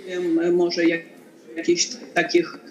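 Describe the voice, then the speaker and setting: A woman's voice: fairly low pitch, completely flat delivery, takes it slowly, highs cut off. A woman judge whose first language is Ukrainian, giving a formal conference talk over a video call with careful, hesitant phrasing.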